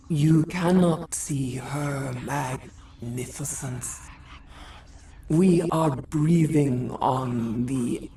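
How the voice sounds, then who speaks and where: An actress voicing a solemn alien insect queen who speaks through a dying woman in a halting, eerie, partly whispered trance for a video game. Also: low-pitched, dark tone, recorded from a distance, slight background noise. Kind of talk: dramatic video game voice acting.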